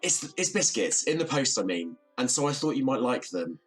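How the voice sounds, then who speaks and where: A man's voice: medium pitch, very animated, moderate pace, faint music behind, lightly acted. A man plays a shy neighbour in an audio drama. He is apologetic and hesitant, politely keeping himself small. The delivery is natural and awkward. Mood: flustered. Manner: hesitant, self-effacing, polite.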